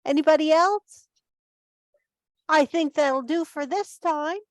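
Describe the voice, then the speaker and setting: Female meeting host, high-pitched voice, informal and chatty delivery, close dry sound. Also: slow pace, no echo. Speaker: online meeting host introducing guests. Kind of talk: casual hosting of an online group meeting.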